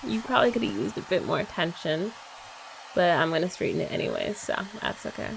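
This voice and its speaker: Young woman, ASMR girlfriend roleplay, bouncy pitch, slight vocal fry, playful and teasing. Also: wildly swooping pitch, a little fast, a little hiss or hum, low-quality recording. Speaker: ASMR creator.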